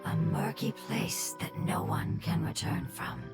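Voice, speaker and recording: A woman voicing a solemn forest spirit in a video game, speaking in a measured, cryptic way. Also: over loud music, close to an everyday voice. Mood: cryptic, musing. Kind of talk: scripted game dialogue.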